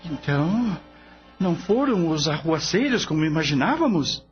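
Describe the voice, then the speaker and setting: A man's voice: a little high, sing-song, normal speed. A man acting in a radio drama as an earnest, anguished grown son, speaking with emotional, pleading delivery. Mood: shocked, hurt. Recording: dull lo-fi radio drama, faint music.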